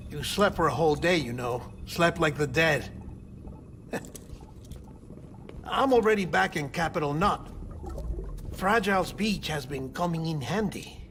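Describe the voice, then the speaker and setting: Slow-spoken, gentle artificial man. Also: a little high, lively intonation, slight background noise. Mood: friendly, apologetic. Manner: measured, deliberate, confiding storytelling. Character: gentle, earnest man built from cadaver parts and stem cells. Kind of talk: cinematic video game acting.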